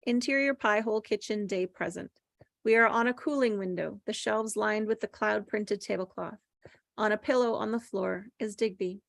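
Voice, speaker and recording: A woman's voice: medium pitch, moderate pace, creaky, no echo, studio quality. A woman reading screenplay stage directions aloud as scripted narration at a table read.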